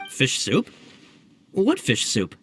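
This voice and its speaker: An actor playing an earnest, excitable young male subordinate in a video game, eager and emphatic. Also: medium pitch, takes it slowly, a little hiss or hum. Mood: puzzled. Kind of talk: scripted video game voice acting.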